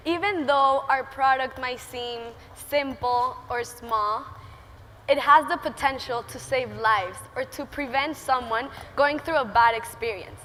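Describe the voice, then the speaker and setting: Eighteen-year-old woman, Venezuelan accent, high bright voice, nervous and giggly, reverberant hall. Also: a little hiss or hum. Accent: Venezuelan Spanish. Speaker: student entrepreneur speaker. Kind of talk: prepared conference talk. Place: large conference hall stage. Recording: reverberant hall with faint ambience.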